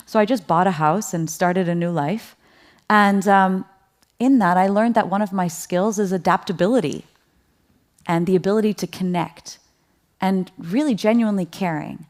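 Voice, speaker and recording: A woman gives a keynote at a conference in a mid-pitched voice. She talks in a lively, conversational way and keeps turning to the audience with questions. Light laughs come between her points. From Netherlands. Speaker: keynote speaker.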